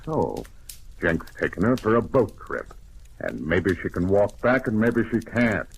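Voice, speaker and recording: A cold-blooded gangster boss in a vintage radio thriller. The voice sits very low, with clipped, threatening slang. A light noise runs under the old recording. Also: male voice, big pitch swings, unhurried, vocal fry, exaggerated voice. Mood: menacing, gloating. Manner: threatening, clipped tough-guy talk. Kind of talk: old-time radio crime drama acting.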